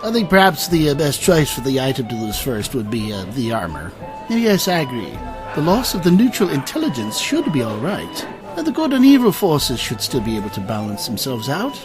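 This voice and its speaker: Man playing a halting, fussy scholarly sage, swooping intonation. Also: mid-range voice, wildly swooping pitch. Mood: hesitant, thoughtful. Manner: halting, polite, bookish, many uhs. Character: fussy, hesitant scholarly male sage and alchemist. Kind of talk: audio drama character acting. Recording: music behind the voice.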